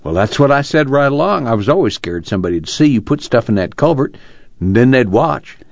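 Man acting an earnest, lively-pitched country fugitive son. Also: fairly quick, no room sound, lo-fi sound. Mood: easygoing, reassuring. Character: earnest young fugitive farm son, thoughtful, reassuring. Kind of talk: dramatized literary reading.

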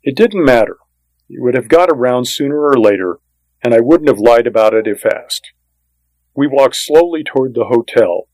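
A man's voice: mid-range voice, expressive, normal speed, a little mellow, no room sound, poor audio. A man reading a first-person western story as scripted audiobook narration, in a plain, matter-of-fact manner.

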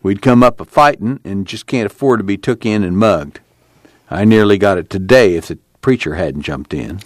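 Determined young farmhand, blunt, read by a man, low and dry. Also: animated, normal speed, no room sound, lightly acted. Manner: blunt rural speech. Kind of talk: reading a novel aloud.

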